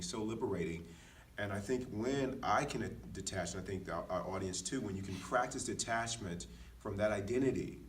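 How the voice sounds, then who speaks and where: A man co-hosting a casual podcast, talking in an affirming, encouraging conversational way. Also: deep voice. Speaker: podcast co-host. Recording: echoey, distant mic with faint noise.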